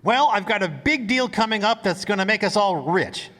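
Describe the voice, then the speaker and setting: High, brash young businessman acted by a man. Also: expressive, moderate pace, fairly bright tone. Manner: exclamatory, pushy. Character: brash, boisterous young businessman and old pal. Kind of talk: live old-time radio play acting. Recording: echoey hall, live stage pickup.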